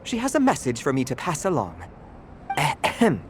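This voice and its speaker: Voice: medium pitch, wildly swooping pitch, moderate pace, noisy background. An actor voicing a fussy, proud male cat butler in a video game, speaking in a pompous, formal and theatrical way. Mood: pompous, businesslike.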